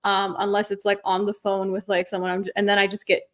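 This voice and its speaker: A woman's voice: medium pitch, quick pace. Laughing woman guest. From United States. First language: Farsi. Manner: animated, laughs between sentences. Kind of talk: casual interview chat.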